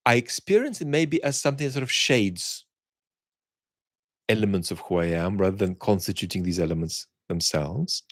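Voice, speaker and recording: A man hosting an online chat, talking reflectively and conversationally in articulate, opinionated phrases, often pausing and restarting words as he searches for the right one. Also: on the low side, lively intonation, dry recording, studio quality. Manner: halting, searching phrasing with repeated word starts. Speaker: host of a live chat for an online community.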